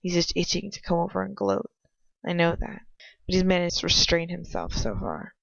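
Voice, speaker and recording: Woman reading a story out loud, pretty low n creaky, bit halting, muffled lofi mic. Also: big pitch swings, no echo. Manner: halting, stumbles and rereads phrases. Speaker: amateur audiobook reader. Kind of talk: fan fiction narration, read aloud in first person. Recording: dull lo-fi home recording.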